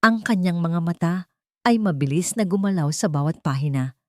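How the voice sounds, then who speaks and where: Low-pitched woman narrating a dramatic story. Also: lively intonation, moderate pace, warm tone, no echo, clean studio sound. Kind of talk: scripted first-person dramatic story narration.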